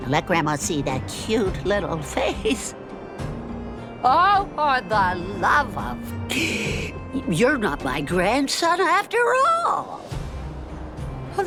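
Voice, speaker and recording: Doddery old grandma, high n fretful, slow swoopy talk, game music behind. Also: female voice, exaggerated voice. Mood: distraught. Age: older. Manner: fussy, doddering, dramatic. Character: fretful, scolding old grandmother with failing eyesight. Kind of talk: animated game voice acting.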